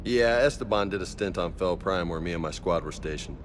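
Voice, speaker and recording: A man as a cocky, low-voiced space marine. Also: a little fast, slight background noise, lightly acted. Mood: relaxed. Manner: casual, slangy, brash. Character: cocky, easygoing young male space marine. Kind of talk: video game voice acting.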